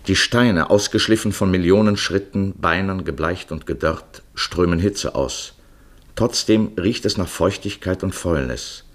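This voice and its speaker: A male narrator with a low-pitched voice. He delivers scripted, literary radio play text in a solemn, poetic and measured way.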